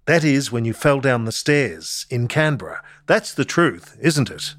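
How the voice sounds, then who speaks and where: A man's voice: mid-range voice, sing-song, a little slow, fairly bright tone. An actor playing a sceptical, accusatory male barrister, making assertive challenges in a re-enacted cross-examination. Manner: assertive statements ending in challenges.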